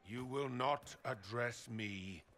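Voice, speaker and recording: An actor playing an arrogant, contemptuous commander of holy knights, pompous and scornful, in a dramatic video game. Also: male voice, mid-range voice, takes it slowly, fairly bright tone.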